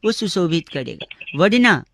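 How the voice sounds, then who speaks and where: A man's voice: high-pitched, sing-song, a little slow, vocal fry, a bit bright, no room sound, studio quality, exaggerated voice. A man voicing a cheeky, mock-polite young male prank caller in a comic radio bit, with teasing, theatrical delivery. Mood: mock-polite, pedantic.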